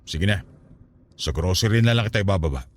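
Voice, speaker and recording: An actor playing a caring, inquisitive male partner in a radio drama, solicitous and gently probing. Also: sits low, lively intonation, brisk. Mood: concerned. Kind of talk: Tagalog radio drama acting.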